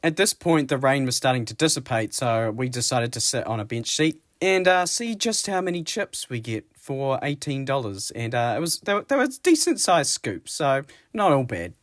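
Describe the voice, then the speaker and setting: A man in his thirties with a New Zealand accent, narrating a casual daily vlog as a single dad, his voice low and rough from a cold, laid-back and self-mocking with frequent chuckles. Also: big pitch swings, moderate pace, few pauses, no echo, studio quality.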